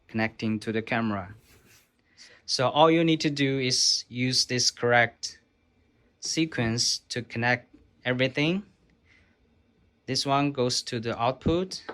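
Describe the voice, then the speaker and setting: A man's voice: mid-range voice, fairly bright tone. A man who speaks English as a second language, presenting an informal step-by-step tech tutorial in simple, explanatory phrases. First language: not English.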